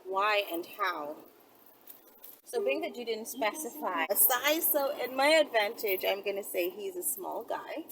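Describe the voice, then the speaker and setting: A woman's voice: medium pitch, expressive, a little slow. A woman talking casually to her viewers as a video host, rambling conversationally and often checking in with tag questions. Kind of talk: casual solo talk to viewers. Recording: distant mic, loud vehicle-like background noise.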